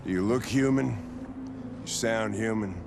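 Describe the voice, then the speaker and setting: Brief: gruff boozing lieutenant, very low, gravelly and slow. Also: male voice, background noise. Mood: brooding. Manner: gruff, blunt, sardonic. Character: gruff, weary, hard-drinking male police lieutenant. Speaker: police lieutenant. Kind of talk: video game cutscene acting. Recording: game score music behind. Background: music.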